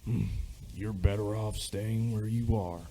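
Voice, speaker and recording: A man's voice: lowish voice, fairly even intonation, measured pace, mellow, poor audio. A man playing a reluctant middle-aged sergeant major in an amateur radio play, speaking hesitantly in old-fashioned phrases. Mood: guarded, ominous.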